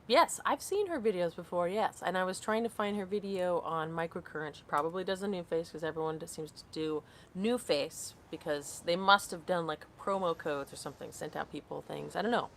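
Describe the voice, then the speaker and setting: Woman, late thirties, lower pitch, live-stream host reading comments, casual and scattered. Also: brisk, few pauses, fairly bright tone, slight background noise. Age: 30s. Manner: rambling, reads comments aloud and loses her train of thought. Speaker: skincare vlogger hosting a live stream.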